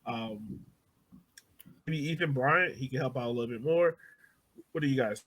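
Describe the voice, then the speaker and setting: A man's voice: mid-range voice, normal speed, a bit bright. Man hosting a casual sports podcast. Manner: conversational, puts questions to co-hosts. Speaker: podcast host.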